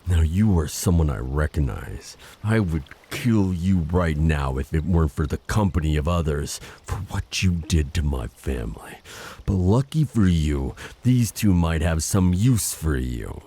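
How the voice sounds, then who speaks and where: A man playing a grim, vengeful monster ruler in an audio drama, speaking in a solemn, formal, bitter way with a husky voice. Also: a bass voice, animated, measured pace, mellow, exaggerated voice. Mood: cold hatred. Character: grim, vengeful monster royal with a lost kingdom. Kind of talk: scripted audio drama acting.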